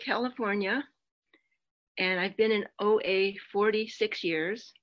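A woman's voice: medium pitch, a bit bright. Older woman candidly telling her story. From United States. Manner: candid, plain-spoken storytelling with self-deprecating humor. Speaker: meeting speaker. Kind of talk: personal share at a support meeting. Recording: muffled lo-fi video call audio.

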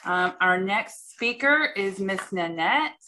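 A woman's voice: mid-range voice, animated, takes it slowly. Encouraging woman hosting a meeting. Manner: warm, encouraging, welcoming. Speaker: meeting host.

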